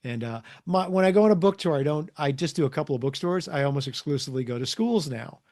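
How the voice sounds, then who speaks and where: A middle-aged man with a fairly high-pitched voice talks as a guest author in a relaxed interview. He tells stories in an animated way, slipping into acted-out dialogue as he goes. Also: fast. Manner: animated storyteller, acting out dialogue. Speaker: guest, comics and children's book author. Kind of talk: casual interview storytelling.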